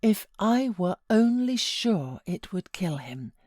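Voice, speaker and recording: A woman voicing a feverish, self-pitying young married gentlewoman from a classic novel, with dramatic outbursts and anguished pleading. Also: mid-range voice, big pitch swings, slow pace, no echo, clean studio sound, pitched above the performer's own voice. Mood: pleading, tormented.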